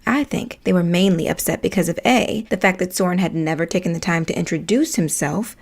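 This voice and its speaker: An actress playing a sardonic, opinionated neighbor in an audio play, narrating events in a conversational, pointed way. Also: female voice, lowish voice, brisk. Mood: exasperated, wry. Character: opinionated, sardonic woman, socially conscious neighbor. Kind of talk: storytelling monologue in an audio play.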